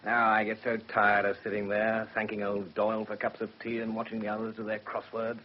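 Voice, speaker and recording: An actor plays a young British barrister and family man in a radio play. He is wry and rueful about himself, with a husky voice and slightly dull recording. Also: fairly low pitch, completely flat delivery, a little fast, close to an everyday voice. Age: young adult. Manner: wry, self-deprecating. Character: wry, discontented young barrister, husband and father. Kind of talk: British radio drama acting.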